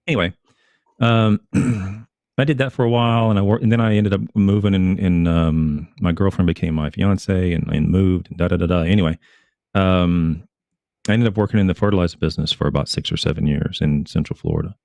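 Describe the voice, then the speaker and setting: A man from Oklahoma speaking as an expert guest, casually and haltingly telling his background in an interview. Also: low-pitched, steady tone, gravelly, darkish tone, no echo. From Oklahoma, United States. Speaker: expert guest, retired turfgrass academic.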